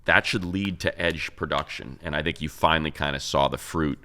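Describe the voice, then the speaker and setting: A man's voice: extremely low pitch, brisk, pushed and bright. A man co-hosting a sports show, breaking down football in a casual, conversational way with repeated words for emphasis. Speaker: sports show co-host and analyst. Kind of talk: casual sports talk analysis.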